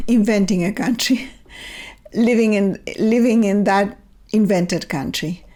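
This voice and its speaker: A woman's voice: medium pitch, dark tone. Older Chilean woman, reflective storyteller. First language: Spanish. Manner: thoughtful, measured storytelling with repeated restarts. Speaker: interview guest, author. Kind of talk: reflective conversational interview. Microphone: remote video call.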